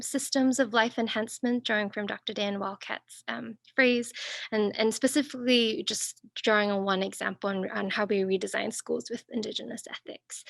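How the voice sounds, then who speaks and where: A woman from Singapore and northern Thailand giving an academic talk over a video call, thoughtful and searching, with many pauses and restarts. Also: a little high, vocal fry, no echo. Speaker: guest speaker.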